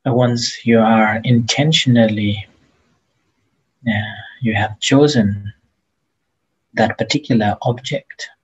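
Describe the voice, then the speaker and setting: A man leading a guided meditation as a teacher, speaking gently and haltingly with long pauses between phrases, in a husky, breathy voice. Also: on the low side, fairly even intonation, highs cut off.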